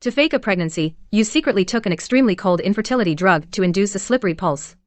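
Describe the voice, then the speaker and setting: A woman dubbing a calm, sharp-witted young woman who speaks in a cool, precise and cutting way. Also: medium pitch, fast talker, dry recording, lightly acted. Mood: cold. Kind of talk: dubbed short drama acting.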